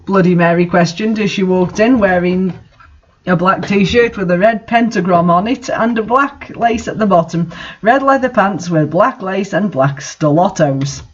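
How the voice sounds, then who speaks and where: A woman's voice: medium pitch, measured pace, breathy edge, highs cut off. A woman reading fan fiction aloud as a dramatic narrator. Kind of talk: dramatic story reading with asides.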